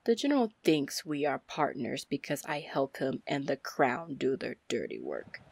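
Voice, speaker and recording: A woman playing a confident, commanding, flirty female pirate captain in an ASMR fantasy roleplay, breathy and deliberate. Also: huge pitch swings, darkish tone, no room sound, exaggerated voice. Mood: menacing, dismissive. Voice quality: breathy, low, husky. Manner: slow, deliberate, smooth-talking. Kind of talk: ASMR roleplay acting, fantasy audio drama.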